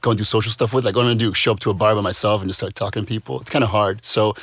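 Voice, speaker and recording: Fast-talking easygoing man. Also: lowish voice, fairly even intonation, fairly bright tone. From New York, USA. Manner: fast and easygoing, frequent fillers. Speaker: podcast guest. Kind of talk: casual chat. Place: studio.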